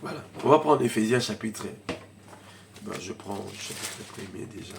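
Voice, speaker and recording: A man preaching a sermon as pastor, who has a cold and coughs. He moves between shouted, fervent lines and quiet, mumbled asides. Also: fairly low pitch, animated, moderate pace, vocal fry, noisy background. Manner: speaks in tongues in bursts.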